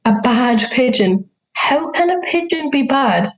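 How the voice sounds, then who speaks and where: Slightly muffled, high-voiced questioning schoolgirl, acted by a young woman. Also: animated, unhurried, soft background music. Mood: teasing, puzzled. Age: young adult. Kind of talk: audio drama dialogue.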